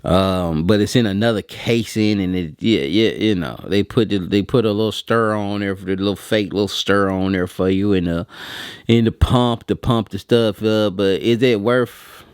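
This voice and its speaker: A man's voice: lowish voice, normal speed, clear, resonant tone, no room sound, clean studio sound. An American man giving casual, unscripted opinions as a video host, blunt and colloquial, repeating phrases for emphasis. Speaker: video host and print equipment reviewer.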